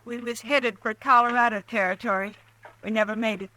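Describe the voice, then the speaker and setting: An actress playing a weary, guarded homesteader wife in an old radio drama, answering in short, curt lines. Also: female voice, fairly high pitch, fairly quick, poor audio. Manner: curt, flat, guarded replies. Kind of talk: 1950s radio drama acting.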